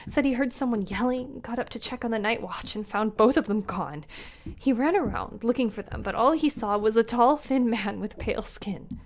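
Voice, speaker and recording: An actress playing a female ship captain recording her last log entries, frightened and dropping into whispers. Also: fairly high pitch. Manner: frightened, breaking into whispers and cries. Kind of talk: audio drama acting.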